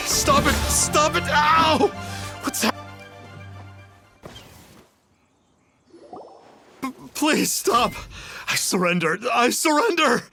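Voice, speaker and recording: A man playing a cowardly young con artist in an anime video game, florid and theatrical, with a husky voice. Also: sits high, bright, forceful tone, exaggerated voice. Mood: panicked pleading. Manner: theatrical, pompous, pleading.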